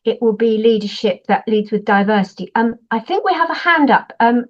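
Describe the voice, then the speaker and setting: A woman's voice: a little high, lively intonation, a little fast. An older woman, a professor presenting at a webinar in an explanatory way, stressing points through contrast. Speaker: university professor, webinar speaker. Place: online webinar. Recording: dull, lo-fi webinar audio.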